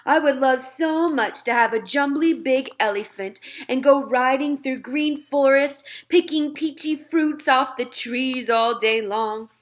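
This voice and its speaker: Woman voicing a gentle, muddled, dreamy giant. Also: high voice, a little slow, few pauses, pitched above the performer's own voice. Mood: dreamy, wistful. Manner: muddled grammar with whimsical made-up words. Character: gentle, secretive, dreamy old male giant. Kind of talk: children's storybook read-aloud.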